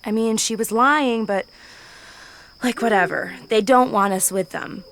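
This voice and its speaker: A woman's voice: a little high, measured pace, fairly bright tone, a little hiss or hum. A woman playing a skeptical American teenage girl in an audio drama, with a casual, slangy delivery. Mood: doubtful. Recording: faint night insects and owl.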